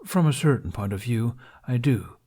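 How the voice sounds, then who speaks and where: A man's voice: mid-range voice, lively intonation, moderate pace, warm tone, breathy edge. A man reading the part of a kindly, wry older Jedi master in a story, with dry, teasing courtesy.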